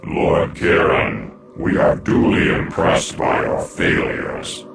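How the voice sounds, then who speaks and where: An ancient, menacing overlord of an underground realm, speaks in a very deep voice thick with gravel. Every phrase is slow and imperious, in formal fantasy-game diction, with a heavy echo around it. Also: male voice, background noise, heavily acted. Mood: scornful, grave. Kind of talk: theatrical fantasy game cutscene acting.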